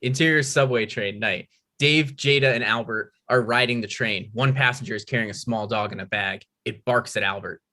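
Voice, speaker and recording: A man's voice: medium pitch, normal speed, pushed and bright. A man reading screenplay stage directions as scripted narration.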